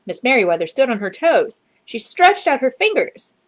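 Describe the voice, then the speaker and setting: A woman reading a children's storybook aloud in an expressive, dramatic storytelling manner. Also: fairly high pitch, normal speed. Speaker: children's book reader. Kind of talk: storybook narration, read aloud.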